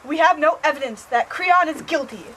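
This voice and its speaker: A woman's voice: high-pitched, normal speed, bright, forceful tone. A young woman playing a pleading, protesting queen in an amateur puppet-show tragedy, with a recited delivery. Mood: explaining. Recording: noisy amateur recording.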